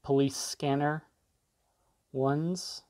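A man's voice: fairly high pitch, fairly even intonation, extremely slow, mellow. A man giving a casual, off-the-cuff tech demo, rambling a little and trailing off mid-thought. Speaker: tech video creator demonstrating a device.

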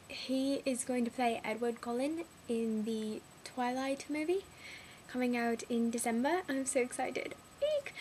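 A high-pitched young woman chats in a put-on British accent. She is excitable and gushing, rambling casually as a vlogger. Clear noise runs through the recording. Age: young adult. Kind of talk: casual video diary.

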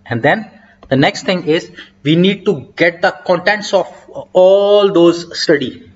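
A man giving a step-by-step software tutorial over a screen recording, talking in an explanatory way with frequent hesitant fillers. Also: sits high, slow pace. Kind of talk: screen-recorded coding walkthrough. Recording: dull lo-fi mic.

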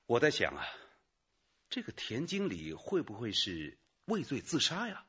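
A man's voice: mid-range voice, wildly swooping pitch, a little slow, bright, forceful tone, husky, highs cut off, exaggerated voice. An actor playing an earnest junior male detective explaining things to his chief in a radio drama. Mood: earnest, reporting. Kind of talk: Chinese radio drama acting.

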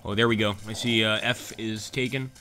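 Man, gaming host, bright voice, casual commentary, faint game sounds behind. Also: fairly low pitch, fairly even intonation, fairly quick, a little hiss or hum. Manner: chatty, reads out and answers live chat comments.

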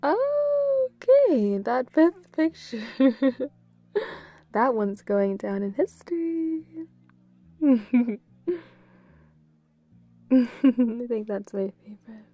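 A woman playing a bubbly, playful, affectionate young girlfriend in an intimate roleplay audio, giggly and given to quick repeated phrases. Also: sits high, no room sound, lo-fi sound, heavily acted. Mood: giddy, affectionate. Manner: giggly, breaks into short excited repeats.

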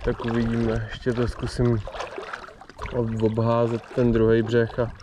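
A man's voice: mid-range voice, monotone, background noise. A young Czech man, a fishing vlogger, gives laid-back, self-mocking commentary to himself while spin fishing from a belly boat on a lake, recorded on a body-worn action camera. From Czech Republic. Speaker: angler vlogger.

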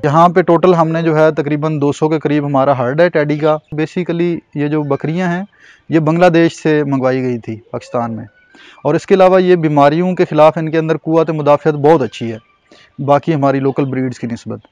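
A man in his thirties with a Pakistani Urdu accent speaks in a measured, informative way, pausing on fillers as he explains. His voice sits fairly high for a man. The sound is close and dry, with little room around it. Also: a little mellow, no room sound, lo-fi sound. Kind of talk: explanatory on-camera interview. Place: outdoors at a covered goat shed.

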